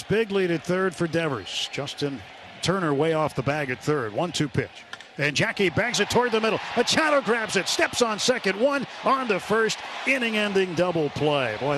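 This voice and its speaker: Higher-voiced man calling baseball over stadium noise. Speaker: radio baseball play-by-play announcer. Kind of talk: live sports commentary. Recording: clear stadium ambience noise behind. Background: ballpark ambience.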